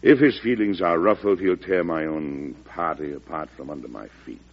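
Shrewd patient president, very low creaky voice, measured, dull old radio sound. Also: male voice. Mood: probing. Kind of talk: old-time radio drama acting.

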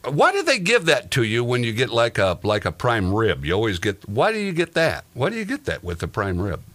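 A man with a bright, forceful voice hosts a casual comedy chat. His pitch swings in a lively way as he rambles and jokes through everyday anecdotes, at an ordinary male pitch. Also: a little fast. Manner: joking, rambling, tells exaggerated everyday anecdotes. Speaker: podcast host.

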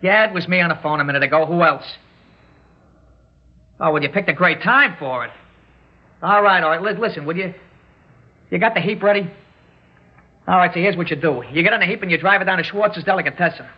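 A man's voice: high voice, a little fast, long pauses, husky. A man playing a tough-talking, agitated young gunman in an old radio drama, using streetwise slang. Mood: impatient, bossy. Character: jittery, tough-talking young male gunman holding a hostage. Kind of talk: 1960s radio drama acting. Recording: dull old radio broadcast.

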